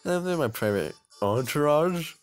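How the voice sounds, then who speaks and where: Man putting on a loud, haughty anime rival girl. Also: fairly high pitch, expressive, unhurried, pitched above the performer's own voice. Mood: boastful. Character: haughty, rich, bratty teenage anime gymnast rival girl.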